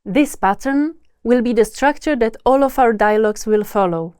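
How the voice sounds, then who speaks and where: A woman teaching a scripted language lesson, repeating each word slowly with pauses. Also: on the high side, a little mellow, clean tone, dry recording, clean studio sound. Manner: repeats each word slowly and deliberately, pausing between repetitions.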